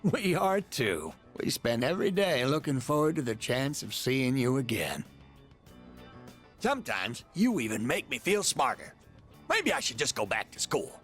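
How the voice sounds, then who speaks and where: A man's voice: mid-range voice, big pitch swings, a little slow, fairly bright tone, faint music behind. An actor playing a coy, teasing, eccentric man in a video game, with a theatrical delivery. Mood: chatty. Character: playful, teasing, eccentric letter-writing man.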